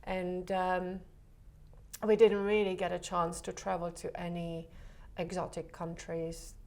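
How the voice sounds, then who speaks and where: A woman from the Republic, a photographer guest talking in a relaxed interview, soft and hesitant with many pauses. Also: on the low side, creaky. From Czech Republic.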